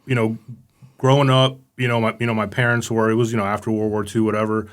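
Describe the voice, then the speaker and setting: A man's voice: on the low side, flat delivery, fast talker. A middle-aged man from Romania, raised in Los Angeles, chatting casually as an interview guest, filling his speech with "right?" and "you know". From born in Romania, raised in Los Angeles. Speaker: guest, command sergeant major.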